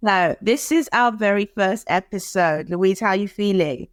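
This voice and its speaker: A woman co-hosting a podcast in casual chat, animated and quick to laugh, with dramatic asides. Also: medium pitch, dry recording, clean studio sound. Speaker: podcast co-host.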